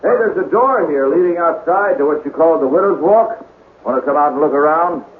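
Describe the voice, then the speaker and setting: An actor playing an easygoing, breezy ghost hunter's assistant in a radio drama. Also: male voice, fairly high pitch, expressive, brisk, husky. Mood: coaxing. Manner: breezy, folksy chatter. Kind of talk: 1970s radio drama acting. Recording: very noisy, tinny radio recording.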